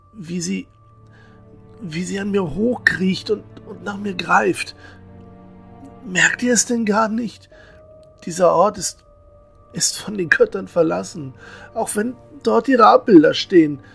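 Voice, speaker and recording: Man acting a slow, creaky, despairing young novice. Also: high voice, fairly bright tone, full character voice. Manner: halting, intense. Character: troubled teenage male temple novice, despairing, brooding. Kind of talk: fan-made fantasy audio drama acting.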